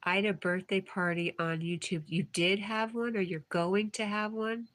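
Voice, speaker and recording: A woman's voice: medium pitch, fairly even intonation, moderate pace, fairly bright tone. A woman hosting a casual livestream, reading viewer comments aloud and replying in a chatty way. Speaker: livestream host.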